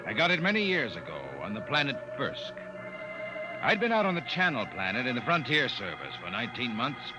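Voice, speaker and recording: Animated officer played by a radio actor. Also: male voice, mid-range voice, a little fast, dull audio, close to an everyday voice. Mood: reminiscing. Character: young eager frontier-service officer, recalling his past posting. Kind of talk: 1950s radio drama acting, narration and dialogue. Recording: old 1950 radio recording, music underneath.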